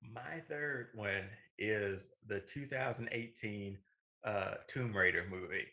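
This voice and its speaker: A man's voice: on the low side, slow, creaky, pushed and bright. A man in his thirties co-hosting a casual show, talking informally and often circling back to repeat himself. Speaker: co-host of a movie and video game show. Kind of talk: casual conversational banter.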